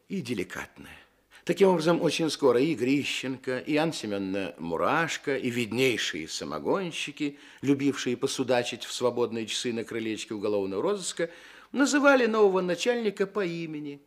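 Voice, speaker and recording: A man narrating a literary story for a radio play, with wry, measured and expressive phrasing. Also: fairly high pitch.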